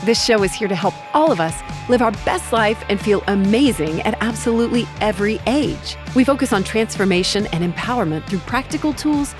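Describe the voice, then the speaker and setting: A woman host reading an upbeat scripted show introduction with an encouraging delivery. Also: a little high. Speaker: podcast host. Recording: voice over clear background music.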